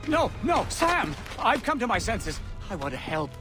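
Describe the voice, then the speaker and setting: A man's voice: sits high, sing-song, normal speed, over music. An actor playing a pompous older colonial statesman in a video game, with formal, theatrical, blustering delivery. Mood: pleading. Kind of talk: dramatic video game acting.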